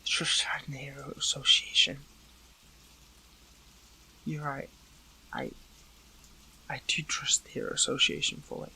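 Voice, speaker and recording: A man playing an earnest, hurt captive superhero in an audio roleplay, speaking in halting, pleading phrases. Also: medium pitch, fairly even intonation, gravelly, sharp tone, whispered, heavily acted, poor audio. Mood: remorseful. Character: captive male superhero, earnest, hurt, questioning an old friend. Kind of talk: dramatic audio roleplay acting, one side of a dialogue.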